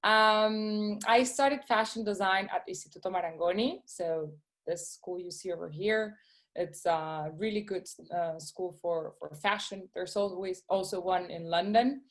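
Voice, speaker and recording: A woman's voice: lowish voice, a little slow. Woman, guest designer talk to kids, eager, video call. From Venezuela. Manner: eager, often checks in with "okay?". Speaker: guest speaker, shoe designer. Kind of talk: presentation to schoolchildren.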